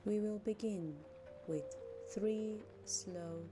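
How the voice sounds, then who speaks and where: A woman leading a guided meditation in a gentle, measured manner, leaving long pauses between short phrases. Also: medium pitch. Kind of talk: slow guided meditation narration. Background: music.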